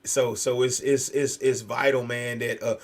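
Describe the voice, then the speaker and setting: An American man hosting a talk show, speaking in an animated, preacher-like conversational way, emphatic and often repeating phrases for effect. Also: mid-range voice, a little slow. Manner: emphatic, repetitive. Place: virtual video show.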